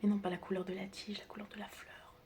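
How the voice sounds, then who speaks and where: A woman doing an ASMR roleplay in a breathy whisper mixed with soft speech, teasing and chatty as she addresses the listener like a close friend. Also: medium pitch, level tone, fast, faint music behind. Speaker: ASMR creator role-playing as a doctor and best friend. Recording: clear background noise with clicking sounds.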